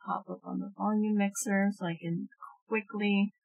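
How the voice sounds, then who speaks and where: A woman's voice: medium pitch, fairly even intonation, normal speed, gravelly, no room sound, phone-quality sound. Casual woman streamer with music behind. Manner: casual, swearing. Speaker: live streamer. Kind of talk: casual banter while streaming a game.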